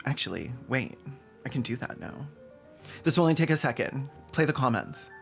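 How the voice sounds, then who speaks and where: A man with a mid-pitched voice hosts a comedic video essay. His delivery is sarcastic and irreverent, scripted but full of casual asides, with faint music underneath. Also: very animated, normal speed, gravelly, a bit bright.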